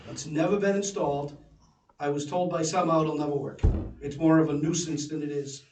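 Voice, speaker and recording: A man's voice: on the high side, fairly even intonation, brisk, a little mellow. A man speaking plainly and folksily at a town commission meeting. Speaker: commission member. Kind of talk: public meeting discussion. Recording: echoey room, distant mic.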